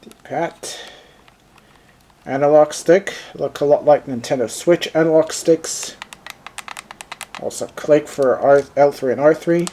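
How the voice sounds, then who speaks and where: A man with a German accent talks slowly through an unboxing, pausing often and filling the gaps with hesitant sounds. His voice sits a little higher than most men's. There is a faint hum of room noise behind him. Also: mellow. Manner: thinking aloud, halting with many fillers. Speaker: gadget reviewer. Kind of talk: unboxing review.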